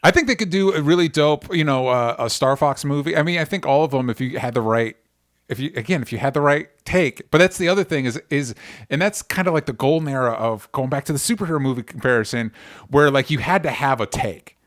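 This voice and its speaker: A man's voice: mid-range voice, expressive, fast talker, dry recording. Enthusiastic man co-hosting a casual podcast, dry sound. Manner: enthusiastic, opinionated, swears freely. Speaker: podcast host. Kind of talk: casual pop culture discussion.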